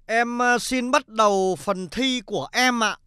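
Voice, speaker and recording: A man's voice: very high-pitched, unhurried, pushed and bright, clear, resonant tone, no room sound, clean studio sound, heavily acted. A man voicing a timid, deferential office employee in a cartoon, speaking hesitantly. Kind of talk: cartoon comedy acting.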